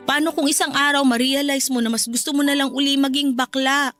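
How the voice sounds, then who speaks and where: A woman's voice: high voice, steady tone, brisk, fairly bright tone, faint music behind. A woman playing a jealous, insecure young wife in an emotional, argumentative radio drama scene. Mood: fearful, pleading. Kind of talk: radio drama dialogue acting.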